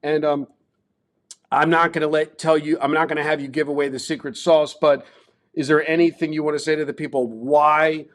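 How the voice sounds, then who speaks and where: Host, male, Baltimore accent, slightly raised pitch, talky promo patter. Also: flat delivery, a little fast. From Baltimore, Maryland. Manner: chatty, promotional, rambling. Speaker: show host. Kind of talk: casual sports betting talk.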